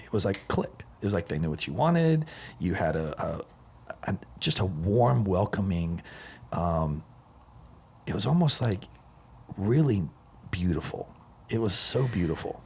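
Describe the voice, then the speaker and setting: A low, husky man in his forties hosts a relaxed podcast chat. He tells long stories with eager enthusiasm and winds from one thought to the next. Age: 40s. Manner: enthusiastic, rambling storyteller. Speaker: podcast host. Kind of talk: casual chat.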